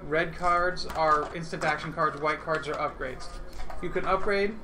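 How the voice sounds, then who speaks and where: A man's voice: a little high, steady tone, a little slow. A man hosting a livestream, casually explaining how a board game is played. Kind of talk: casual instructional game talk. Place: indoor room around a gaming table. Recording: noisy room livestream.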